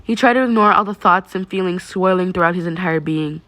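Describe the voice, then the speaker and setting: A woman's voice: lowish voice, fairly quick, fairly bright tone, no room sound. A woman narrating fan fiction in the style of an amateur audiobook.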